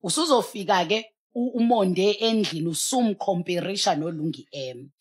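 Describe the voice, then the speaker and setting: A woman's voice: mid-range voice, moderate pace. A South African woman speaking as a pastor on a studio talk show, emphatic and exhorting, mixing in English. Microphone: headset.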